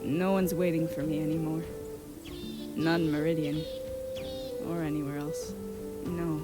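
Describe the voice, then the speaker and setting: A woman voicing a gentle, earnest, wistful painter in a comic fan dub. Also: low voice, level tone, measured pace. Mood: wistful, resolute. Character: gentle, earnest painter longing to stay home. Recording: very loud music behind the voice.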